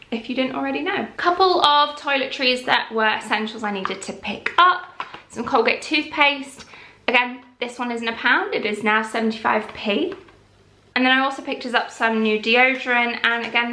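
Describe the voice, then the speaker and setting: A young woman with a bright, clear voice chats her way through a haul in a casual, rambling way. Her talk sits a little high and stays calm and relaxed. She is recorded in a room with a noticeable echo. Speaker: vlogger.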